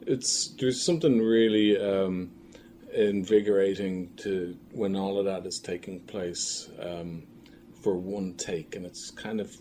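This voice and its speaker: A man speaking as a creative guest in a casual interview, halting and thoughtful as he searches for his words. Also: sits low, fairly even intonation, dark tone. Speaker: filmmaker and audio drama creator, interview guest. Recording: clearly noisy room ambience.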